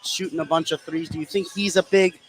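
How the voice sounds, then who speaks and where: A man's voice: a little high, fairly even intonation, a little fast, noisy background. A man calling live basketball play-by-play on the radio. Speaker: sports play-by-play announcer.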